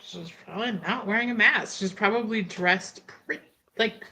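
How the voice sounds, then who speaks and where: A woman improvising casually in a tabletop roleplaying game, thinking aloud in an informal, animated way. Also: medium pitch, normal speed, faint music behind.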